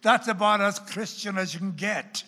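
A man with a Welsh accent tinged with Texan and Oklahoma, teaching a church class in a dramatic sermon style, booming on key words and dropping to hushed asides. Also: moderate pace, slight background noise. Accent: Welsh with Texan and Oklahoma traces. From Wales. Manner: dramatic, wide swings from loud emphasis to hushed asides. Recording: long hall reverb.

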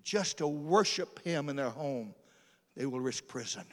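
A man's voice: mid-range voice, animated, measured pace. A man preaching a storytelling sermon as a missionary, emphatic and dramatic, repeating phrases for effect. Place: large church or hall. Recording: hall reverb from a large room.

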